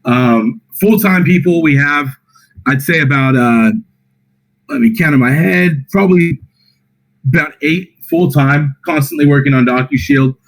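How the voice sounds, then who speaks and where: A tired man with a slightly raspy voice, reading out community questions on a voice call and answering them casually with plenty of fillers. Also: fairly high pitch, measured pace, poor audio. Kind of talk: casual Q&A on a voice chat.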